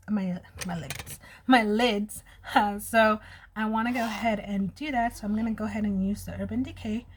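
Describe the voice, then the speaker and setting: A woman's voice: mid-range voice, slight background noise. Chatty woman with a slight lisp. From United States. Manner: chatty, open and personal. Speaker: vlogger. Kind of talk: casual chat while doing makeup.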